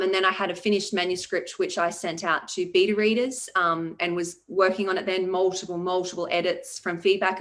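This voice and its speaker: A woman, a debut novelist talking through her path to publication in a relaxed, reflective way with many ums. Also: on the low side. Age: 40s. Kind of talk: conversational interview.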